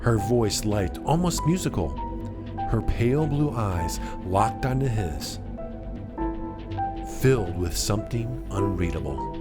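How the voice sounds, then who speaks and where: Unhurried, expressive male story narrator. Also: lowish voice. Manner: suspenseful, measured, with dramatic pauses. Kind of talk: dramatic scripted story narration. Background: music.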